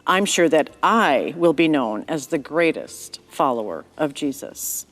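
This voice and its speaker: A woman voicing proud, quarrelsome grown men in a slightly louder, argumentative tone. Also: low-pitched, animated, unhurried, soft background music.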